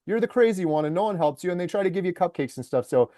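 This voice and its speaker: Eager male documentary maker in an interview; mellow, slightly high, dry close sound. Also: expressive, quick pace, no echo. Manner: enthusiastic storyteller, lots of fillers like "like" and "uh". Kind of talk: casual interview conversation.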